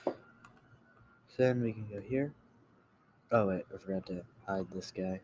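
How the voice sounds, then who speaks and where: A man giving a casual screen-recorded software tutorial, halting as he thinks aloud while he works. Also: on the low side, a little hiss or hum. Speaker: tutorial creator.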